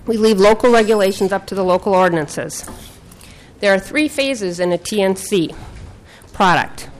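A woman senator formally presenting her bill to a legislative committee, reading from prepared notes in a measured way. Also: medium pitch, a little hiss or hum, low-quality recording. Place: legislative committee hearing room.

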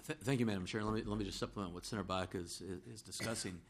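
A middle-aged man with a hoarse, roughened voice and a fairly low pitch speaks in a legislative chamber. He talks deliberately and builds on a colleague's point, with a faint room hum behind him. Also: steady tone. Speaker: state senator. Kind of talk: legislative floor debate.

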